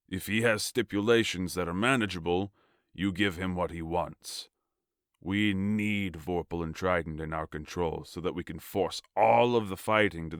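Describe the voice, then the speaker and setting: Man acting as a deep, cold warlord king. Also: a bit bright, no room sound, clean studio sound. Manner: measured, authoritative, formal. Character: large, tall warlord king, cold, commanding, ruthless strategist. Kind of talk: audio fiction acting.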